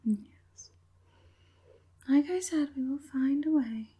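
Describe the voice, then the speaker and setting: A woman voicing a sly, falsely soothing evil witch puppet master in a breathy, coaxing whisper for an ASMR roleplay. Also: sits high, expressive, mellow, pitched above the performer's own voice. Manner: coaxing, unhurried, sinisterly sweet.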